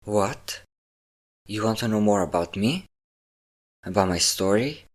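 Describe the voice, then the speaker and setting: A man acts out a centuries-old male vampire with a low-pitched voice, speaking intimately to the one he holds captive. He is possessive yet doting, coaxing with teasing endearments in an audio roleplay. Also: lively intonation, measured pace. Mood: teasing, pleased. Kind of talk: intimate scripted audio roleplay addressed to a listener.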